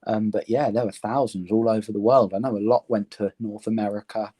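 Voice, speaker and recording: A man in his thirties with an English accent, born in Romania, answers an interviewer's questions in a low, mellow voice. He speaks earnestly and thoughtfully, pausing often with "um" as he gathers his words. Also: fairly even intonation, slightly rough. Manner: earnest, thoughtful, many ums. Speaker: interview guest. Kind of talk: reflective conversation.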